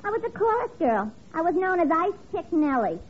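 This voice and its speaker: A woman's voice: extremely high pitch, very animated, fairly quick. A woman playing a coy, offhand former chorus girl with a tough streak in a 1950s radio comedy. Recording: muffled old radio broadcast.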